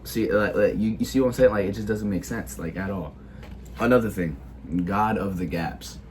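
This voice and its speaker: A teenage boy speaks in a low register, audibly sick. He talks haltingly and keeps restarting his sentences with filler words. A faint background noise sits under his voice. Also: a little mellow. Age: teens. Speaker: video creator. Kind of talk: casual opinion monologue.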